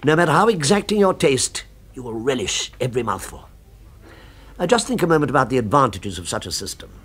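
A gourmet gentleman from a 1970s radio drama, consumed by his passion for fine food. His speech is florid and exacting, and his medium-pitched voice swings widely as he stresses his words. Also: sing-song, a little fast, slightly rough. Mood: eager, assured. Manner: precise, florid, emphatic speech. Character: fervent gourmet gentleman, obsessed with a restaurant's food.

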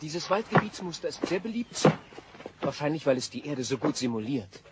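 An actor playing a polite, formal android officer in a radio drama, speaking literally and matter-of-factly. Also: male voice, a little high, very animated, brisk. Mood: informative. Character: polite, precise male android starship officer. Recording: noisy old cassette audio drama.

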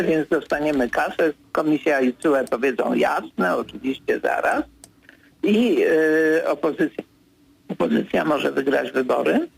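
An older man, a political commentator, answering as a guest in a radio studio interview, hesitant with many fillers, then firm and brisk. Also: on the high side, creaky, slightly rough, rough recording.

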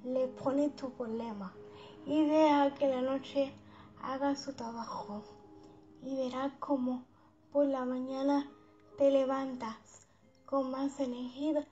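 High-voiced woman guiding a slow sleep meditation. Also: steady tone, dark tone. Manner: soothing, unhurried, affectionate diminutives. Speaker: guided meditation host. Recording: dull lo-fi sound under background music.

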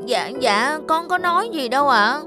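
A woman's voice: high voice, lively intonation, moderate pace. A woman voicing a timid, crafty young male servant in a cartoon, deferential and pleading. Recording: studio voice with loud music.

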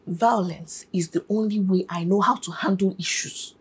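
An actress playing a hot-tempered young woman who shouts in rapid, combative bursts. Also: mid-range voice, husky. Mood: angry. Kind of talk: web drama acting.

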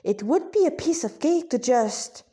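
A woman with a fairly high voice reads fantasy fiction aloud. Her narration is informal and a little halting, with repeated words and casual asides. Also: animated, warm tone. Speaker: narrator reading a fantasy story.

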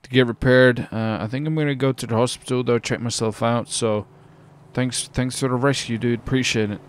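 A man's voice: mid-range voice, fairly even intonation, brisk, slight background noise. A man chatting and commentating in character in a game roleplay, casual and exclamatory, with some swearing. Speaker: gamer, roleplay video host. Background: game ambience and vehicle sounds.

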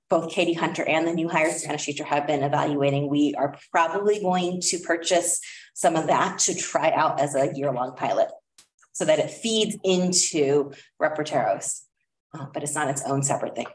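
A woman presenting a curriculum recommendation at a school board meeting, talking casually with many fillers. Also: low voice. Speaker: district administrator presenting curriculum. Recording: echoey room, voice far from mic.

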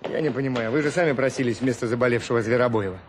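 An actor playing a brisk, slippery cargo official in a radio play, glib and officious. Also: male voice, mid-range voice, close to an everyday voice. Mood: impatient. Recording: noisy radio play, outdoor ambience.

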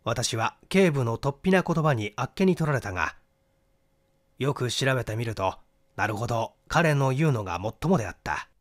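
A man narrating a mystery novel in a theatrical, deliberate storytelling manner. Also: medium pitch, animated. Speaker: audiobook narrator and stage actor.

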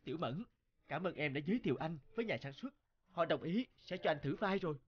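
A man's voice: on the high side, expressive, fast talker, muffled recording. A man voicing an earnest, humble young male hero in a cartoon, in both dialogue and storytelling. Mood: grateful, polite.

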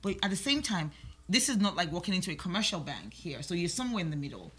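Bright-voiced woman hosting a radio interview. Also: fairly low pitch, big pitch swings, fast, breathy edge. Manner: chatty, acts out listeners' questions. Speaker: talk show host. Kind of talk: interviewing guests. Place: small radio studio. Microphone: studio mic on stand.

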